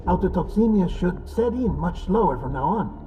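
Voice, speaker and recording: A man acting an earnest, clinical middle-aged technician in a video game, explaining things in a measured, slightly hesitant way. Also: sits high, lively intonation, muffled recording, noisy background. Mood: clinical, cautioning.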